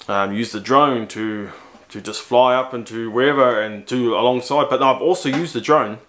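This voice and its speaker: A man in his forties with a New Zealand accent, chatting casually to camera about his gear, repeating himself and jumping up in pitch when he shows things, in a room at home on a camera-mounted mic. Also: fairly bright tone. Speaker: motorcycle vlogger. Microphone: camera-mounted shotgun mic.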